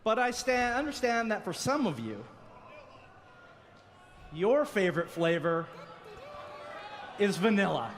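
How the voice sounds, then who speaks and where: High-voiced male presenter in a reverberant hall. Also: big pitch swings, takes it slowly, pauses often. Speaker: event presenter. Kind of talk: staged announcement speech. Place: large event hall, on stage. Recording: reverberant hall, clear background noise.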